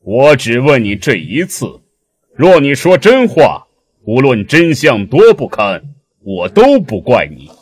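A man acting a brooding male deity in a Chinese audio drama, with a husky voice. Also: medium pitch, very animated, a little slow, no echo. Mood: grave, pained.

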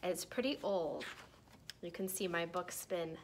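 A young woman reads a children's picture book aloud in an expressive, deliberate way for young listeners. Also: fairly low pitch, a little hiss or hum. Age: young adult. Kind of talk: storybook read-aloud. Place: small decorated room indoors.

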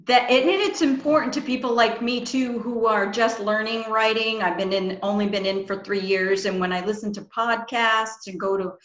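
Higher-pitched woman host in an echoey room. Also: steady tone, normal speed, few pauses, fairly bright tone, dull audio. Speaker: interview host. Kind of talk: casual video interview. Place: small room at home, indoors. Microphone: computer mic on a video call. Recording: roomy, echoey home room.

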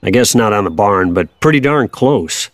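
A man's voice: fairly low pitch, lively intonation, normal speed, dry recording, close to an everyday voice. An actor playing an older Kansas farmer father, plainspoken, folksy and patiently wry, in an audio drama. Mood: reminiscing.

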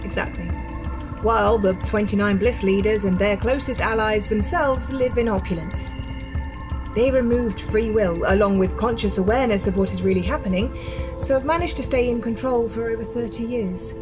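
A woman's voice: medium pitch, moderate pace, lightly acted. Radio drama rebel played by a woman: grim, earnest ex-insider, emphatic delivery, mid-range female voice over prominent music. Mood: grave. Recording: music bed under the voice.

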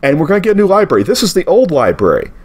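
A man's voice: on the high side, very fast, breathy edge. A man in his forties hosting a local history show, talking casually over old photos and often checking in with "okay?". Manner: conversational, lively, asks okay? often. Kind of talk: narrated slideshow history talk.

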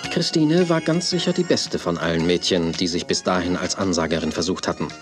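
A man's voice: low-pitched, expressive, brisk, music in the background. A man narrating a children's audio drama as a storyteller, reading scripted storybook prose. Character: male storyteller of a children's tale.

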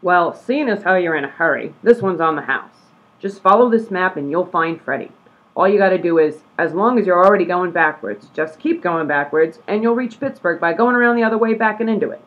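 A man's voice: high voice, brisk, natural voice. A man playing a wily, folksy huckster in a comedy radio play, glib and colloquial. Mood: glib, helpful. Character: wily, folksy huckster, cheerfully swindling travelers. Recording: tinny, phone-like audio.

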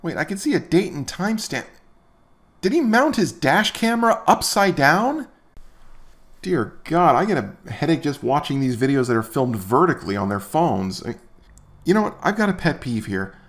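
A man's voice: on the high side, big pitch swings, moderate pace. A man hosting a comedic commentary video, sarcastic and exasperated as he rants. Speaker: host.

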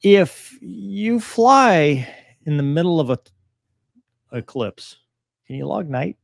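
A man's voice: a little high, big pitch swings, takes it slowly, gravelly, no room sound, clean studio sound. An American man in his forties hosting a podcast with informal, joking banter. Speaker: podcast host, military pilot. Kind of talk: casual banter, commenting on a clip. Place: small home studio room. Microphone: studio microphone on boom arm, headphones.

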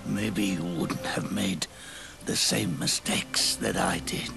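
An actor playing a weary, regretful old soldier near death in a video game cutscene, with a gravelly, breathy, halting and pained delivery. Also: male voice, low-pitched, steady tone, full character voice. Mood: regretful, solemn. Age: older. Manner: halting, solemn, labored delivery. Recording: orchestral music behind the voice.

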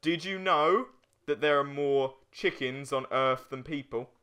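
A man's voice: a little high, a little slow, pushed and bright, clean tone. A young man doing casual let's play commentary with joking, sweary banter.

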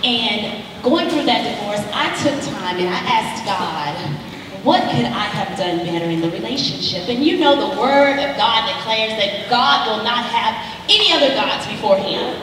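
A woman's voice: on the high side, expressive, moderate pace, close to an everyday voice. An actress on stage playing an earnest, encouraging female counselor who speaks in an emphatic, preachy, reassuring way. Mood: earnest, testifying. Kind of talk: stage play acting. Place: indoor theater stage living-room set. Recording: echoey theater stage, distant, noisy.

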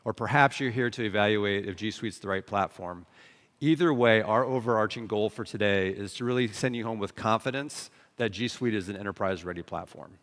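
A man's voice: on the low side, brisk, fairly bright tone. An American man in his forties giving a conference presentation as a consultant. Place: large conference hall stage, indoors. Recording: reverberant hall, stage PA.

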